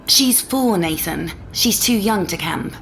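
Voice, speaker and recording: A woman's voice: mid-range voice, animated, slow, vocal fry, a bit bright, full character voice. Exasperated, fiery mother played by a female narrator, emphatic and sarcastic, audiobook drama with music underneath. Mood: angry.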